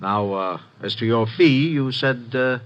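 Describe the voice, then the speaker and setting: An actor playing a wealthy, secretive loan company president who speaks hesitantly, with many pauses, in a 1950s radio drama. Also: male voice, mid-range voice, close to an everyday voice. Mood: guarded. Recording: dull lo-fi old radio recording.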